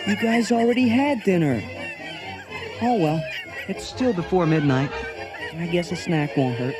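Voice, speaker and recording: A man playing an earnest, kind young man with a boyish, expressive delivery in a children's read-along audio drama. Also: high voice, unhurried. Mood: casual. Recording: cassette transfer with music behind. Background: music.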